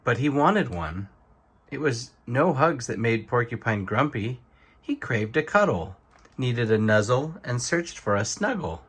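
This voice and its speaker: Animated male storybook reader, mid-pitched. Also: unhurried, a little hiss or hum. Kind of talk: expressive bedtime story narration.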